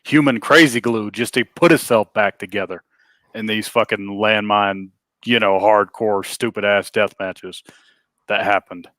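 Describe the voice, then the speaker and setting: A man's voice: on the low side, steady tone, a little slow, fairly bright tone, dry recording. Blunt man in dry, close sound. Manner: blunt, sweary, short interjections. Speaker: co-host. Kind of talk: casual wrestling review chat.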